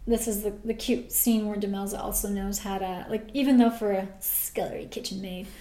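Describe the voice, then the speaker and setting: A woman co-hosting a casual chat, animated and laughing often as she recaps a TV episode. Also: mid-range voice, fairly quick. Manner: animated, laughs often, acts out quoted lines. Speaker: podcast co-host. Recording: roomy echoey sound.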